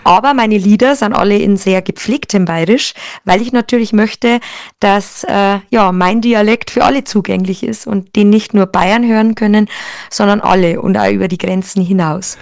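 A young woman from Lower Bavaria with a Bavarian accent, a singer answering interview questions with many hesitations and fillers. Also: moderate pace, no echo. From Lower Bavaria, Germany. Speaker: Schlager singer, interview guest.

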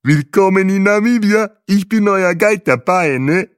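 A beaming, upbeat tour guide, as a male narrator puts him on, chatty and loud, finishing his sentences with a quick "ne?". The voice is pitched up and sounds a little set back from the mic. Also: clean tone, no echo, clean studio sound. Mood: jovial. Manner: tacks "ne?" onto sentences.

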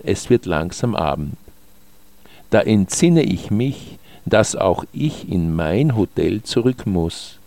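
Male narrator for scripted short prose; low register, unhurried and measured delivery, dry close sound. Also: darkish tone, dry recording. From Austria. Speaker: author reading own short texts.

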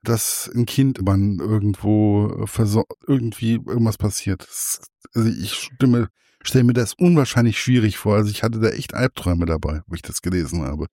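A man from Hesse hosting an interview, asking rambling, relaxed questions. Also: lowish voice, normal speed, no echo, studio quality. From Hesse, Germany. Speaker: host. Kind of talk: casual interview chat.

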